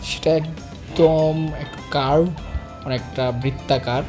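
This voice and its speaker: A Bangladeshi man hosts a travel vlog in a slightly high male voice. He explains each stop directly to his viewers as a guided tour. Music plays clearly behind him. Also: steady tone, takes it slowly. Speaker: vlog host.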